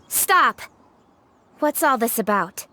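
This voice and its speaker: A woman playing a proud, composed young noblewoman official in a video game, speaking briskly, formally and with assurance. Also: a little high, big pitch swings, bright, forceful tone, no echo, full character voice. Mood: commanding. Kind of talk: video game dialogue acting.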